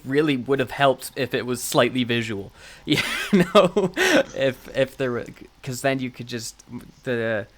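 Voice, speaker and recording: A man chatting casually as a podcast co-host, talking in a rambling way and often chuckling. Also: medium pitch. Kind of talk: casual chat reviewing audio dramas.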